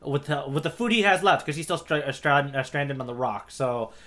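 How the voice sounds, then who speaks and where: A man's voice: mid-range voice, animated, brisk, pushed and bright. A man co-hosting a podcast, recapping a story informally and checking in with his co-host as he goes. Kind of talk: casual conversational story recap.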